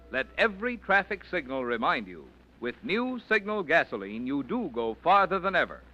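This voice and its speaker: A man's voice: on the high side, sharp tone. A male radio announcer reading sponsor messages and credits in a polished, persuasive 1940s style. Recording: dull old radio recording with music.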